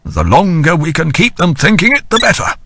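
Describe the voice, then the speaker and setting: An assured senior military commander in an audiobook acted by a male narrator. He addresses his officers with authority, his voice raised above the narrating pitch. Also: very animated, fairly quick, a bit bright, no echo, heavily acted. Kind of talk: audiobook character acting.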